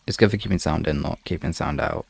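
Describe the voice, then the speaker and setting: Very gravelly low-voiced man, casual streamer. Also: a little hiss or hum. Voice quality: gravelly, husky. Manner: informal, swearing, halting. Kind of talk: casual live-stream chat with listeners.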